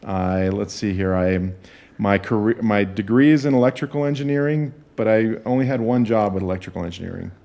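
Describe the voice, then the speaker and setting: Low-voiced man in his fifties. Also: vocal fry, dark tone. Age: 50s. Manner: unhurried, thinks aloud as he explains. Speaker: podcast guest. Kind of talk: podcast interview.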